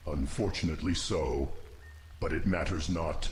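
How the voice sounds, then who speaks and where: An extremely low-voiced undead commander in a fantasy game, acted by a man. He is grim and disciplined, giving measured, formal orders over faint noise. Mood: commanding. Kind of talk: video game cutscene acting.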